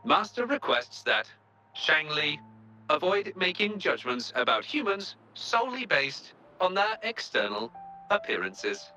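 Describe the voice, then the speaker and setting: A man's voice: fairly high pitch, bright, forceful tone, exaggerated voice, low-quality recording. A man voicing a small, polite robot assistant in a video game, speaking in formal, literal, procedural announcements. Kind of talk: video game character dialogue.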